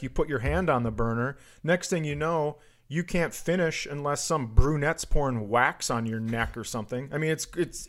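A man in casual comic banter, telling anecdotes in a rambling way and often interrupting himself. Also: fairly high pitch. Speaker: comedy podcast host.

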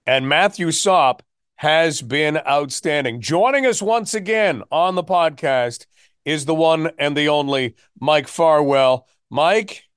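Higher-pitched male sports podcast host. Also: slow pace, fairly bright tone, smooth, no echo, clean studio sound. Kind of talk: hosted sports interview.

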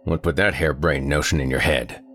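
A man voicing a stubborn, loud middle-aged country father who grumbles and blusters. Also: extremely low pitch, fairly even intonation, a little fast, soft background music. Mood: indignant.

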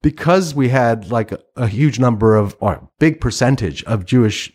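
A middle-aged man from Iran, a writer and interview guest, speaking reflectively in a casual conversation with frequent restarts and soft laughs. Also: mid-range voice, lively intonation, moderate pace.

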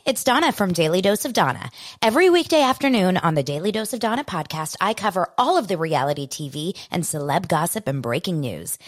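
Woman, podcast host. Scripted upbeat promo, slightly low voice, very animated intonation.

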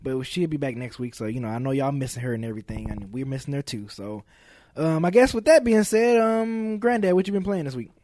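A man's voice: mid-range voice, animated, a little fast, warm tone, dry recording. A man hosting a gaming podcast in loose, slangy, jokey chat, often repeating his phrases. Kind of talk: loose casual group chat. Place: podcast studio.

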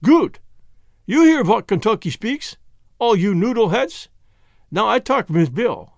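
Man performing a cunning condemned man, thick Germanic accent, broken English, high lively voice, excitable, defiant, storybook character. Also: a little slow, gravelly, dry recording, clean studio sound, full character voice. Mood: taunting.

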